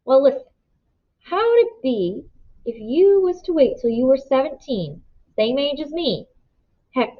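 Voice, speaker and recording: A woman voicing an eager, dreamy teenage boy who coaxes in folksy country talk. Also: a little high. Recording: dull lo-fi recording.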